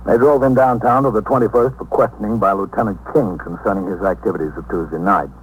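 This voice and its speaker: An actor playing a sober American police captain, narrating a case in an official, measured 1950s radio style. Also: male voice, on the low side, slightly rough, phone-quality sound. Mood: matter-of-fact. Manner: matter-of-fact, official, measured. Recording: noisy 1950s radio recording.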